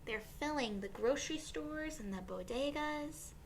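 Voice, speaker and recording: Slow, high-voiced woman reading to children. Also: slight background noise. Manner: expressive, pausing and asking questions to young listeners. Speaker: children's read-aloud teacher and host. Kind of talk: picture-book read-aloud for young children.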